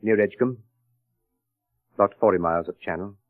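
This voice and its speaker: An actor playing a grave, reticent confidant in an old radio drama, with measured, reluctant delivery. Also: male voice, on the low side, natural voice. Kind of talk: 1930s radio drama acting. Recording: very muffled old radio recording with music.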